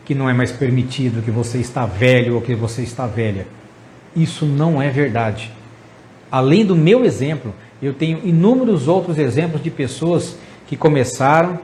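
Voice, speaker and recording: A man in his fifties talks straight to camera, earnest and encouraging, stacking up lists and asking the listener rhetorical questions. His voice sits a bit high for a man. A faint hiss runs under the recording. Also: darkish tone. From Brazil. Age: 50s. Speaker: psychologist host. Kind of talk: motivational talk to camera.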